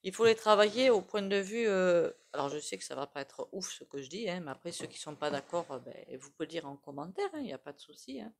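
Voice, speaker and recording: A woman giving a casual, rambling tarot reading, full of fillers and frequent throat clearing. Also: fairly low pitch, animated, fast, few pauses. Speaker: tarot reader.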